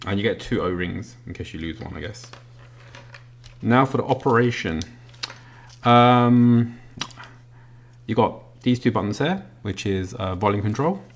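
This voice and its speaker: A man's voice: on the low side, animated, measured pace, long pauses. A man giving a casual, unscripted product review in a hesitant, matter-of-fact way, indoors at a table. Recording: noisy home recording with clicks.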